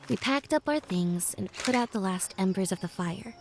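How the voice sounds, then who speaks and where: Wistful young female student played by an actress, medium pitch, gentle reflective game narrator, music underneath. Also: animated, moderate pace, close to an everyday voice. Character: thoughtful, wistful young woman student leaving home. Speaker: narrator and protagonist of a puzzle adventure game. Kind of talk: scripted first-person storybook narration. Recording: music behind the voice. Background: background music.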